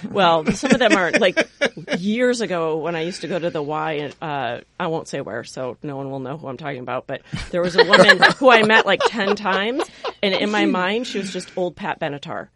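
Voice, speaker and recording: A woman's voice: fairly low pitch, normal speed, fairly bright tone. Teasing, witty woman on a radio panel. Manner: teasing, witty, laughs often. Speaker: panel guest. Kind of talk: casual radio panel chat.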